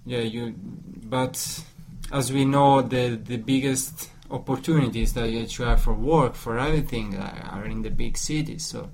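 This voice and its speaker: A man with an Italian accent in an informal discussion, speaking haltingly and repeating words as he searches for phrasing. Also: mid-range voice, a little mellow. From Italy. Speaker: discussion participant. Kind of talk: informal discussion in a second language. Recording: clear background noise.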